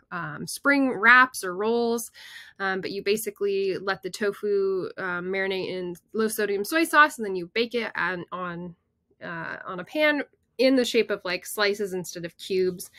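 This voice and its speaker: A young woman talks through a nutrition slideshow in a casual, explanatory way, with an easy scatter of fillers. Her voice is bright and the sound is dry and close, as from a webcam. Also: medium pitch, no echo. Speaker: nutrition educator. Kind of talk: slide presentation.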